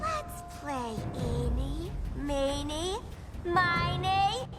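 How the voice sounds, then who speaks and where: A woman's voice: high-pitched, big pitch swings, extremely slow, over loud music, full character voice. An actress voicing a manic, playful, girlish clown troublemaker in a video game, with zany sing-song delivery. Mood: manic glee.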